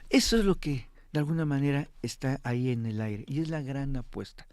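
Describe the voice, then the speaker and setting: A man's voice: mid-range voice, brisk, a little mellow, dry recording. A man with a Mexican accent giving analysis as a guest in a live interview, emphatic and prone to repeating himself.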